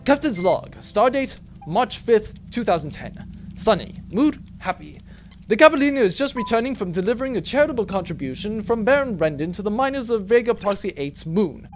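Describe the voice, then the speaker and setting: A man's voice: high voice, very animated, fairly quick, faint music behind. A man playing a casual, roguish starship captain with a mock-official manner in a comic audio drama. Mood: smug, cheerful. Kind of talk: comic space-opera audio drama acting.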